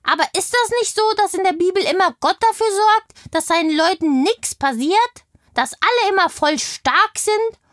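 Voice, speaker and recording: A woman's voice: very high-pitched, animated, moderate pace, a bit bright, no room sound, clean studio sound. A woman playing a chatty, indignant kindergarten girl in a children's audio play, telling things in childlike everyday words. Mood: curious. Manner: childlike, colloquial storytelling.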